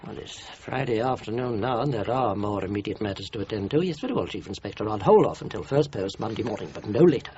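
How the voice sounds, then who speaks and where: An actor playing a formal, fastidious but obliging male solicitor with clipped, precise phrasing in a radio crime drama. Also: on the low side, animated, darkish tone, highs cut off, slight background noise, close to an everyday voice. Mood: firm, obliging.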